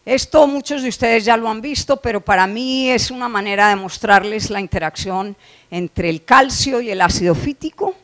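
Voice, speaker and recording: A woman's voice: a little high, moderate pace, fairly bright tone. A middle-aged woman from Colombia with a Colombian accent, giving a conference lecture on poultry nutrition, explaining slides with frequent "eh" fillers and restarts. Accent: Colombian Spanish. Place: conference hall.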